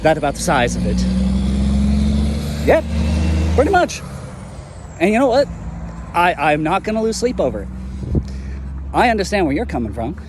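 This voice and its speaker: A man's voice: sits high, big pitch swings, normal speed, pauses often, noisy background. A man voicing a stubborn young male hero who rants bluntly and swears. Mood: ranting. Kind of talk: fan audio drama acting.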